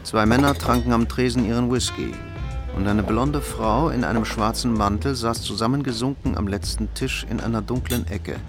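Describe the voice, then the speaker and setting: A man tells a crime story as the narrator of a radio play. His mid-pitched voice is measured and matter-of-fact, set over loud music.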